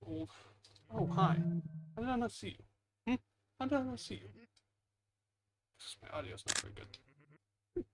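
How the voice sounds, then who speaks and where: A man's voice: extremely high pitch, big pitch swings, measured pace, creaky, long pauses, noisy background. A man giving casual, rambling, self-deprecating gameplay commentary as the host, muttering into a headset mic at home. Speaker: gaming video host.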